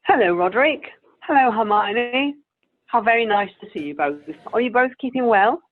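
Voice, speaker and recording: A woman playing a wistful, genteel, sweetly vain elderly actress in an amateur radio play, with a creaky voice. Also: a little high, expressive, moderate pace, close to an everyday voice. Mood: hopeful, persistent. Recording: tinny, phone-like video call audio.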